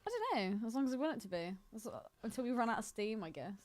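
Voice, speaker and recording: Lively high-voiced woman. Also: racing, no echo. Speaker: podcast co-host. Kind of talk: casual unscripted podcast banter.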